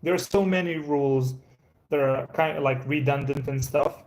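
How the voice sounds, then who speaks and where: A man's voice: on the high side, normal speed, a little mellow, rough recording. Rambling Croatian man with an American-sounding accent. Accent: American-sounding English. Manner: rambling, laid-back, many fillers and restarts. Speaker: live-stream host. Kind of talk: casual live-stream chat answering viewers. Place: indoors at a home.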